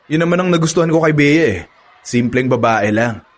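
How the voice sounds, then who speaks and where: A man's voice: on the high side, expressive, a little fast, lightly acted. A man playing cocky student womanizer. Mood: cocky boasting. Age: young adult. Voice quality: smug, laughing, boastful. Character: cocky young male college student, boastful womanizer. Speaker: actor in a radio drama. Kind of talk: radio drama acting.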